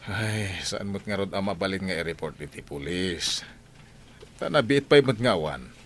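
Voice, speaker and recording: A man's voice: low-pitched, expressive, normal speed, a bit bright, slight background noise. A man playing a worried, exasperated father and master of the house in an Ilocano radio drama, with an Ilocano accent. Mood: weary, exasperated.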